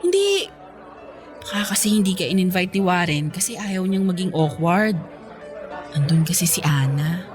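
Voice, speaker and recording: A woman's voice: on the low side, very animated, moderate pace, over music, a crowd in the background. An actress playing a chatty, candid single career woman, breezy and teasing with her friend in radio drama dialogue. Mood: hesitant, gossipy.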